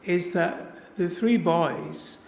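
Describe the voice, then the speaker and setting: A man's voice: sits high, extremely slow, slight background noise. A man chairing a festival event, asking questions with enthusiasm and frequent restarts. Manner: enthusiastic, self-interrupting. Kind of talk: interview questions. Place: lecture hall at a festival event. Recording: roomy hall with faint ambience.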